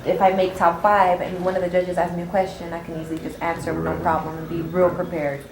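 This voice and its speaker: A woman's voice: on the low side, flat delivery, fast talker, a little mellow, echoey room, slight background noise, low-quality recording. A young woman from California, a pageant titleholder, talking openly and chattily in a talk show interview with plenty of fillers. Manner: open, chatty, many fillers.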